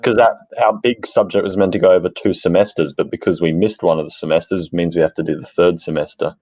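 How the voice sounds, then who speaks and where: A man's voice: sits low, steady tone, fast talker, darkish tone, no echo. A man, talking casually as a podcast panelist on a dull mic. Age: 20s. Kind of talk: casual discussion.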